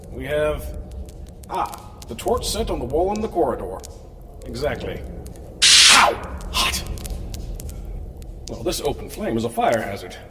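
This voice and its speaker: A man's voice: mid-range voice, background noise. A man playing a witty, fussy, eccentric scientist-adventurer in an audio drama, chatty and theatrical with mock-formal asides. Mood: smug, playful.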